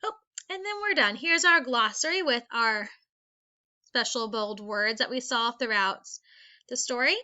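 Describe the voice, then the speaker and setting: A woman's voice: on the high side, unhurried, sharp tone, smooth, highs cut off. A woman teacher reading aloud a lesson for young children in an instructional manner, explaining things step by step. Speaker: elementary school teacher.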